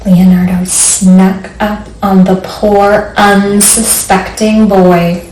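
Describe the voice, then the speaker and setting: A woman's voice: mid-range voice, level tone, takes it slowly, a little mellow, far from the mic. A woman librarian leading a children's storytime, speaking simply and encouragingly to young listeners and reading aloud. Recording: roomy echo, faint background noise.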